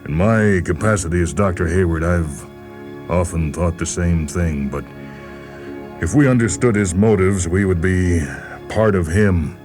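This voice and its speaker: A man voicing a reflective alien posing as a doctor, measured and formal, in a science fiction story. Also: low-pitched, music in the background. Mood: cautioning.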